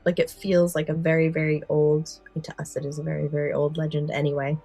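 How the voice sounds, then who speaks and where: Low-voiced woman, enthusiastic podcast host. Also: level tone, quick pace, vocal fry, warm tone, breathy edge, dry recording, faint music behind. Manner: enthusiastic, explanatory. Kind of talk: podcast discussion, part prepared book summary.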